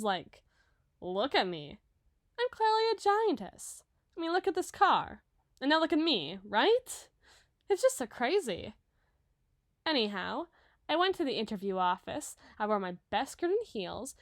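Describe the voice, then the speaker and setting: A woman's voice: fairly high pitch, no echo, clean studio sound. A woman voicing a dramatic, sweet young giantess model in an audio roleplay, with an emotive, chatty delivery. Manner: emotive, chatty, halting when flustered.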